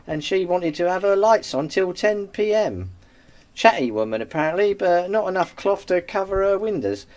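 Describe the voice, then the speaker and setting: A man's voice: high-pitched, big pitch swings, normal speed, a little mellow. A man playing a chatty, cheeky wartime post room clerk with a working-class London accent in a radio play. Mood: gossipy. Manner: colloquial, cheeky storytelling.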